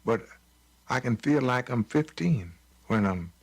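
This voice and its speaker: An American man in his eighties talking reflectively and haltingly, as in an interview. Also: medium pitch, lively intonation. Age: 80s.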